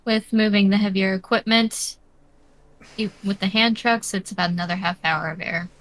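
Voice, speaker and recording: A woman's voice: mid-range voice, steady tone, normal speed, a bit bright. A woman running a tabletop role-playing game as game master, explaining rules and describing scenes in a matter-of-fact way.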